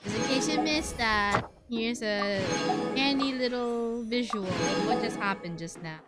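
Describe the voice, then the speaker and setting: A woman's voice: a little high, a little slow. A woman streamer giving casual, chatty gaming commentary with a playfully sarcastic manner. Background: loud game music.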